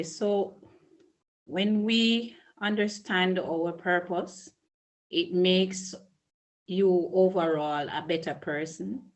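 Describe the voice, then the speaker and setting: A middle-aged Jamaican woman, a school principal, answering interview questions in a measured, earnest way with small restarts. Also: fairly low pitch, low-quality recording. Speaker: early childhood school principal.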